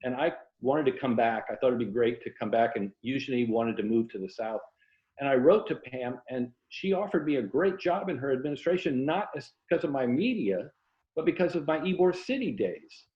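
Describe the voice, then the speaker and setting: A man's voice: medium pitch, expressive, a little fast. An older man from Florida with an American accent, telling anecdotes casually as an interview guest over a video call. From Tampa, Florida, United States. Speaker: interview guest, writer and former journalist. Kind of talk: casual conversational storytelling. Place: indoor room, remote video call. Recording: roomy, dull video call audio.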